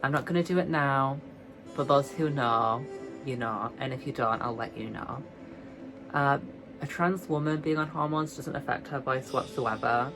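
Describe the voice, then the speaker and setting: A woman's voice: music in the background, rough recording. Chatty young British woman with a girly voice. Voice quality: light, high, girly voice. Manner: chatty, self-mocking, drawn-out emphasis. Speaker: vlogger. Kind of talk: casual vlog chat.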